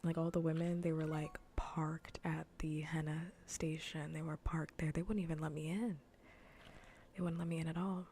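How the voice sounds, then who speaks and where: Soft, whispery low-voiced woman streamer. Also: flat delivery, moderate pace, a little hiss or hum. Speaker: livestream host. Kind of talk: casual ASMR stream chat.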